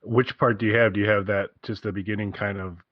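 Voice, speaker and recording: A man's voice: fairly low pitch, flat delivery, fast talker, dry recording, muffled recording. A man co-hosting a casual podcast, talking in an enthusiastic, rambling way and repeating himself, recorded over an internet call. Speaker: podcast co-host.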